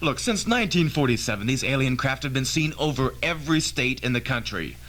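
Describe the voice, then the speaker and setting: A man's voice: mid-range voice, animated, fairly quick, a bit bright, natural voice. A man playing a brash, impatient, arrogant physicist in an old-fashioned radio drama, insistent and emphatic. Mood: urgent, pleading.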